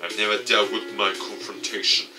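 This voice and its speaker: A man reading a visual novel game aloud with commentary for his video, in a halting, stumbling delivery. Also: lowish voice, little pitch movement, distant mic. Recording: off-mic, music behind.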